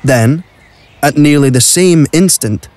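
A man's voice: fairly high pitch, slow pace, darkish tone. A man narrating a scripted audio drama as the storyteller.

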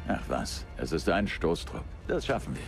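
An actor playing an overconfident, breezy rogue adventurer in a video game. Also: male voice, low voice, big pitch swings, moderate pace, music in the background. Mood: nonchalant. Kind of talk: dramatic video game acting.

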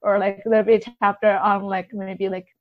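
Woman, casual interview guest, mid-pitched voice, tentative explainer, frequent "like", slightly muffled lo-fi recording. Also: level tone, normal speed. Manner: tentative, hedging, peppers talk with "like". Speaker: podcast guest. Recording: dull, slightly lo-fi audio.

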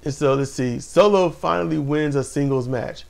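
A man co-hosting a casual wrestling talk show, animated and laughing often, speaking to viewers as y'all. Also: fairly high pitch, normal speed, gravelly. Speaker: podcast co-host. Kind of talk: casual chat about wrestling.

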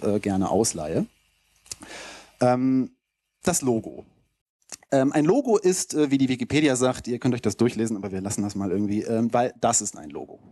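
A man's voice: medium pitch, darkish tone, dry recording. Casual man giving a dry-sounding talk. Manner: casual, loose, rambling delivery. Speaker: conference keynote speaker. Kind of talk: informal slide presentation to an audience. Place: lecture hall stage with audience. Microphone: handheld microphone held close.

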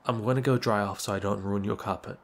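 Man acting a shy, low-voiced young neighbor guy. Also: fairly even intonation, vocal fry, background noise. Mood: sheepish, apologetic. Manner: hesitant, halting, apologetic. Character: shy, apologetic, awkward young male neighbor. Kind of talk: ASMR roleplay acting.

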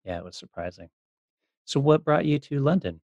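A man's voice: mid-range voice, animated, normal speed, darkish tone, no echo. A man hosting a podcast, chatting casually as he interviews a guest. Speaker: podcast host.

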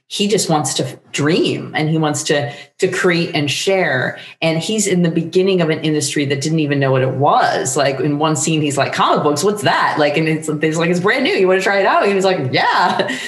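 A middle-aged woman talking casually as an interview guest, animated, with meandering, halting phrasing. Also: sits low. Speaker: guest playwright. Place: book-lined room at home, indoors. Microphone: shared desktop microphone on video call. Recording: roomy home recording.

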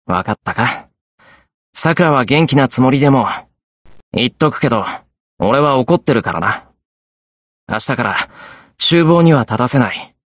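A man's voice: mid-range voice, fairly bright tone, dry recording. Earnest young man, dry recording. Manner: blunt, serious. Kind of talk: Japanese anime visual novel acting.

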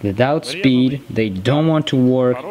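A man in his thirties with a Russian accent, giving blunt opinions in halting English while talking to an action camera on a ski slope. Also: mid-range voice, a little mellow, dull audio. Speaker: ski tester. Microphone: helmet or selfie action camera close-up.

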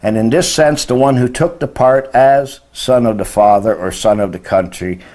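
A man in his sixties with a medium-pitched voice delivers an informal religious lesson. He explains terms didactically, leans on key words and often puts rhetorical questions to the listener. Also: normal speed, a little mellow. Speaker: religious teacher. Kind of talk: informal lecture explaining word meanings. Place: indoor room beside a presentation screen.

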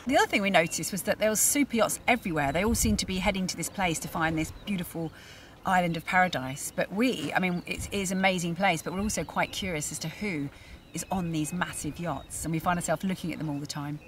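A woman's voice: on the low side, quick pace. Woman vlogging outdoors, slightly distant and noisy. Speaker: sailing vlog presenter, mother. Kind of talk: casual vlog narration to camera. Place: outdoors at a harbour and on a sailboat. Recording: distant mic, noisy outdoor boat ambience.

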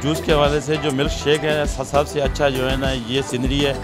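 Halting Pakistani shopkeeper over prominent music. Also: male voice, a little high, level tone. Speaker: fruit seller. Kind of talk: informal on-camera interview reply. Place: fruit shop. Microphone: handheld microphone.

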